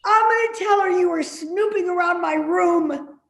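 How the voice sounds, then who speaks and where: A woman's voice: very high voice, normal speed, vocal fry, echoey room. A woman acting a cantankerous, stubborn and suspicious older mother in a play reading, with a querulous, scolding manner. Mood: querulous, accusing.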